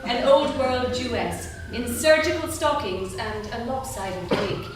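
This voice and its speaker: A woman's voice: a little high, expressive, a little slow. A woman narrating stage directions at a live staged script reading. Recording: echoey theatre, far mic, noisy.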